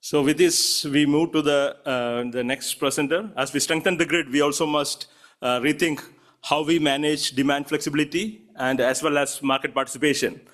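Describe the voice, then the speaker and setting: A man's voice: on the high side, steady tone, moderate pace, a bit bright. A man moderating a conference session, formally introducing the next presenter with a few fillers. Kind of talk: reading introductions.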